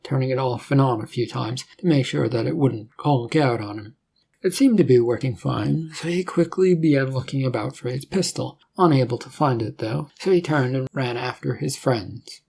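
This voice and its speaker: A man's voice: mid-range voice, creaky, darkish tone, husky. A man reading scripted fiction as a narrator, telling the story in a dramatic way.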